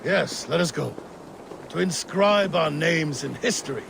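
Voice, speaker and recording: An old, sickly Viking king in a game drama, proud and suspicious. His voice is very gravelly and fairly high, with grandiose, deliberate delivery over a noisy background. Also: lively intonation, full character voice. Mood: solemn, proud. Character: aging, ailing Viking king, proud and increasingly paranoid. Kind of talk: dramatic video game acting.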